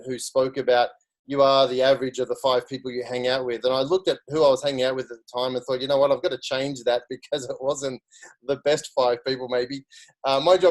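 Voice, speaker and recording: A man's voice: mid-range voice, low-quality recording. A middle-aged man with an Australian accent, chatting as a guest speaker about his career, often restarting his sentences. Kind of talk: casual career story.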